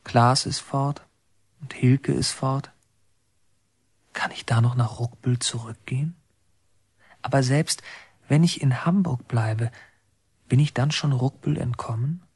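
An actor playing a brooding, obsessive young man in a reformatory, speaking hesitantly and pensively with a breathy, husky voice in a radio drama. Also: medium pitch, steady tone, takes it slowly, long pauses, darkish tone, no echo. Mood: brooding, introspective. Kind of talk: introspective German radio drama acting.

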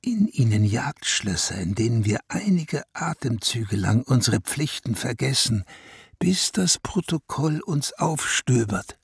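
An actor playing a weary, regal prince-elector in a German radio drama, musing in a stately way with a husky, gravelly voice. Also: male voice, medium pitch, very animated, measured pace, no echo, studio quality, heavily acted. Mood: wistful, weary. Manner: stately, musing, speaks in royal plural.

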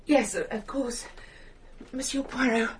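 An actress playing a frightened, secretive wife and mother, nervous and halting, in a radio drama. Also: fairly high pitch, steady tone, slight background noise, natural voice. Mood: fearful. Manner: nervous, halting, breathy urgency.

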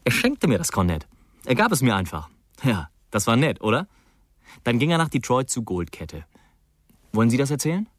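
Ein Schauspieler spielt in einem Hörspiel einen Musiker, der im Plauderton von einem alten Freund erzählt. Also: male voice, medium pitch, very animated, normal speed, pauses often. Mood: fond, chatty. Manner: conversational, addresses the listener. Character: Jim, chatty musician remembering an old friend. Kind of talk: radio drama reminiscence.

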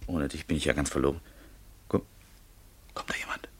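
An actor playing a nervous, ardent secret lover in a German radio play. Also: male voice, rumbling low, steady tone, a little fast, husky. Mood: furtive, anxious.

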